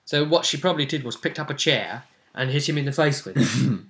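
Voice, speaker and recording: A man's voice: medium pitch, a little fast, fairly bright tone. A man acting as game master, casually recapping the story of a tabletop role-playing game.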